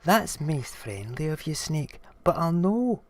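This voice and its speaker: A man voicing a small, bold, boastful mouse in a storybook, with a Scottish accent and a cheeky, animated manner. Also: a little high, slow, voice pushed up. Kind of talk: storybook character reading.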